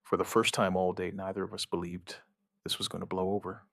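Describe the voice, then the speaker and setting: An actor playing a shaken outbreak survivor, giving his account in halting, somber, dramatic narration with short deliberate phrases. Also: low-pitched, little pitch movement, gravelly, fairly bright tone, dry recording, clean studio sound. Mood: grim, haunted. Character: shaken male outbreak survivor recounting events, dryly stubborn. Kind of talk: dramatic first-person scripted narration, witness account.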